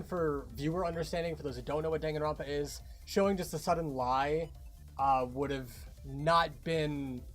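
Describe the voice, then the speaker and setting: Guy cohosting a casual stream, voice a bit high, soft music in the back. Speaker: fandub creator co-hosting a livestream. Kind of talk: casual behind-the-scenes chat.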